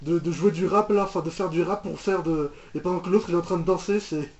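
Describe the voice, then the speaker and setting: A young man giving casual reaction commentary in a halting, incredulous manner. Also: sits high, darkish tone, muffled recording. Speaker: reaction video host.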